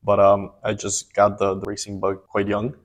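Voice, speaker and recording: Flat-toned young man, calm guest. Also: on the low side, normal speed. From Ecuador and Miami. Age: 20s. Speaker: guest, race car driver. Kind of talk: interview answers.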